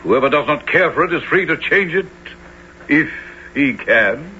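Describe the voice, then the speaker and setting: An actor playing a proud, obstinate knight who speaks in formal, imperious declarations in a theatrical radio drama. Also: male voice, on the high side, expressive, normal speed, pushed and bright. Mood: stubborn, proud. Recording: dull noisy radio broadcast.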